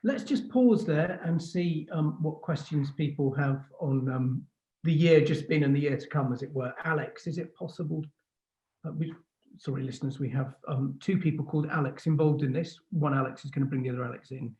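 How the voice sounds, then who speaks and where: A man moderating an informal panel on a video call, halting and repeating words as he goes. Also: fairly high pitch, dark tone. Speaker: host, magazine editor. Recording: muffled, echoey call audio.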